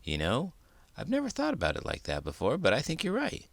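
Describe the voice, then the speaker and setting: A man's voice: medium pitch, huge pitch swings, a little fast, vocal fry, fairly bright tone, no room sound. A man reading a children's book aloud as a host, with dramatic, emphatic delivery. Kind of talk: expressive storybook read-aloud.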